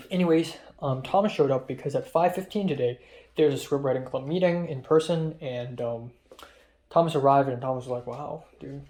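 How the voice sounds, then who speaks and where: Rambling young man. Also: on the high side. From Hong Kong. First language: Cantonese. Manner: rambling, excitable, swears a lot, with many fillers. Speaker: vlogger. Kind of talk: casual diary vlog.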